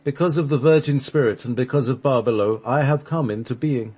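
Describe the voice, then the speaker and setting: A man's voice: medium pitch, brisk, warm tone, breathy edge, voice pushed up, close to an everyday voice. A man voicing a reverent anointed divine child, declaiming solemnly in an amateur radio play reading.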